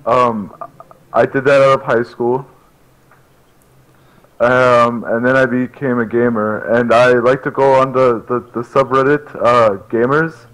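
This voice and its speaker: A man's voice: mid-range voice, fairly even intonation, a little slow, fairly bright tone, poor audio. A man calling into a live show, giving short, hesitant answers in casual chat. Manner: terse, hesitant.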